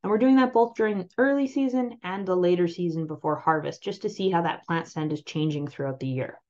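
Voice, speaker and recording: A woman with a Canadian accent presenting on-farm crop trial results over a slide presentation, explaining them clearly and steadily. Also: on the low side, brisk, a little mellow. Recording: slightly muffled voice-over.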